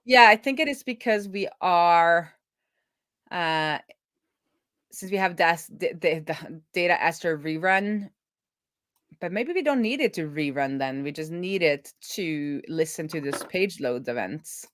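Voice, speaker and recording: Low-pitched woman thinking aloud while coding. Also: expressive, a little slow, a bit bright. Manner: thinking aloud, talking through steps to herself. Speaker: web developer live-streaming a coding session.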